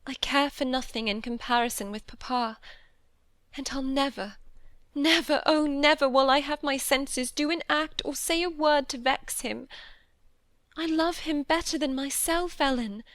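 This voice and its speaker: A woman's voice: sits high, a little slow, fairly bright tone, no room sound, studio quality, pitched above the performer's own voice. A woman voicing an impulsive, spirited teenage girl who speaks in eager, earnest pleas.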